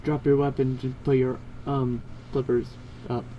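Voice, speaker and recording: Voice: medium pitch, flat delivery, measured pace, dull audio, slight background noise. Someone reading fan fiction aloud in a casual, informal way, stumbling over words and correcting themselves.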